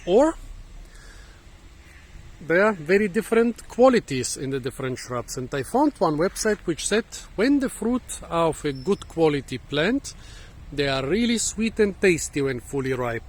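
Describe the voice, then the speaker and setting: A hoarse man, musing and halting as he thinks aloud while hosting a casual fruit-tasting vlog outdoors in a garden, recorded on a phone. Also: on the high side. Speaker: fruit channel host. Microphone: phone camera.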